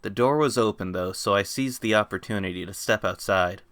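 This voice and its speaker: A man's voice: fairly low pitch, brisk, fairly bright tone, no room sound, studio quality. A man giving a dramatic reading of fan fiction as its narrator. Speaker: author reading own story.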